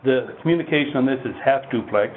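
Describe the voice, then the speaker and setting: A man presenting technical product training in a measured, explanatory, instructional way. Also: mid-range voice. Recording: muffled phone-like line with heavy noise.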